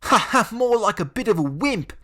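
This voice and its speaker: A man's voice: sits high, expressive, normal speed, pushed and bright, pitched above the performer's own voice. A man voicing a teenage boy who jeers and gloats alongside a bully, in an audiobook. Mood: jeering. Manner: jeering, gloating. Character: jeering teenage boy sidekick.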